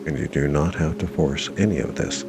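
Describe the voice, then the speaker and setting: A man narrating a guided meditation in a measured, reassuring way, leaving long pauses between short phrases. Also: rumbling low, gravelly, noisy background. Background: music.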